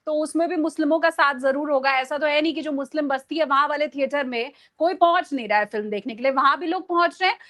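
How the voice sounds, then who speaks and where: A woman's voice: high-pitched, quick pace, hardly any pauses, a bit bright. A woman giving conversational political commentary, emphatic and explanatory, repeating phrases for stress. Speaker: journalist guest on a discussion show.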